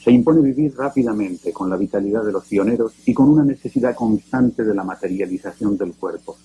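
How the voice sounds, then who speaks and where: Man, documentary narrator, low voice, deliberate, grave, scripted. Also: dark tone, muffled recording, slight background noise.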